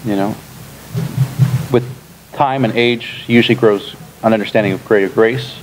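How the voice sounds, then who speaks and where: A man in his thirties, a pastor, leading a relaxed classroom discussion with lots of fillers, recorded in a church classroom by a room camera. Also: fairly low pitch, normal speed, a little hiss or hum, low-quality recording. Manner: relaxed, chatty, frequent fillers and laughs. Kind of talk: casual teaching discussion.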